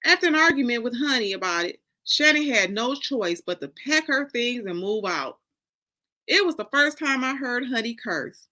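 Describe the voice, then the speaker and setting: An American woman, an author, reading her first-person novel aloud in an expressive, deliberate, dramatic way. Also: on the high side. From Detroit, Michigan, United States.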